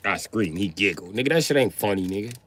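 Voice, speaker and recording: A man's voice: on the low side, expressive, fast talker, a bit bright. A middle-aged man livestreaming a profane, boastful comedic rant.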